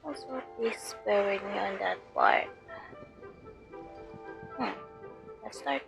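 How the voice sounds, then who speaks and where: A woman from the Philippines, commenting softly over a game at home late at night, using a headphone mic, with lots of fillers. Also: vocal fry, over music. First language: Tagalog. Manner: soft, lowered voice, slow, many fillers. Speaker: game streamer. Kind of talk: casual commentary over gameplay.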